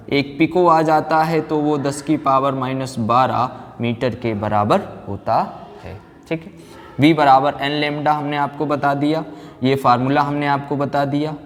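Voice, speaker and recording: A man teaching a physics class like a lecturer, asking questions and answering them himself. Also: medium pitch. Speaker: science teacher. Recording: very reverberant room, background noise.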